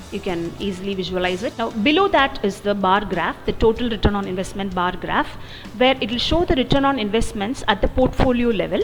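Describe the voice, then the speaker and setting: A woman narrating an explanatory software demo in a methodical, step-by-step way. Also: mid-range voice. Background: background music.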